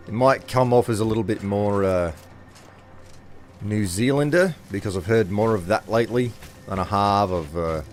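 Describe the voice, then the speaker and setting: A married man streaming a game, rambling casually and self-deprecatingly with halting restarts. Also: lowish voice. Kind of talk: casual gameplay commentary.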